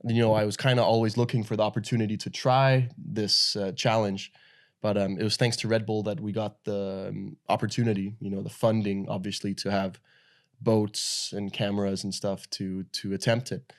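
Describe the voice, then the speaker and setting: A young man from Denmark talks casually as an interview guest. His voice sits a little low, and he tells his story in a loose, relaxed flow full of "like" and "uh". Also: normal speed. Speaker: guest, pro paddle surfer.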